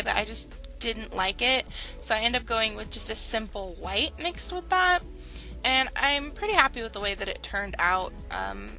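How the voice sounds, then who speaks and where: A young woman chatting casually over a game build, a little hoarse from a cold, with lots of fillers. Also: on the high side, pushed and bright, low-quality recording. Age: young adult. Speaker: Sims builder and channel host. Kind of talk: casual speed-build commentary.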